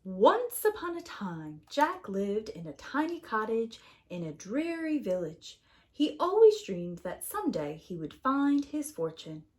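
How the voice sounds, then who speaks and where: A woman reading a storybook aloud as a preschool story-time host, expressive and deliberate for young children. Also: fairly high pitch.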